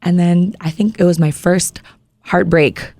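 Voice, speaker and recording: A woman's voice: fairly low pitch, steady tone, measured pace, darkish tone, dry recording. A woman in her thirties from Maui, Hawaii, a singer-songwriter guest chatting in a laid-back, playful way with frequent giggles. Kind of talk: casual interview.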